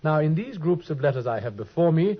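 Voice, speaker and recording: An actor playing a brilliant, dryly teasing detective in a vintage radio drama, speaking with crisp, precise wit. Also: male voice, on the high side, sing-song, brisk. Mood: wry. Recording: dull old radio recording.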